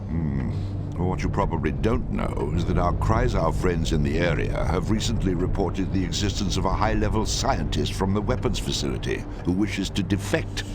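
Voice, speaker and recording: A man playing a commanding, measured senior intelligence chief in a video game cutscene, speaking deliberately and dryly. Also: low voice, expressive, heavy background noise. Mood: measured authority. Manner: deliberate, dry, authoritative. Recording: loud music behind. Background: loud music.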